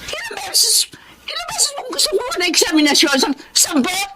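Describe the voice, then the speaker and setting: A woman's voice: huge pitch swings, fairly quick, full character voice. A woman playing a scolding, exasperated, belittling Filipino mother in a radio drama, with a nagging, mocking delivery. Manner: nagging, mocking, shrill outbursts. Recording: clear background noise.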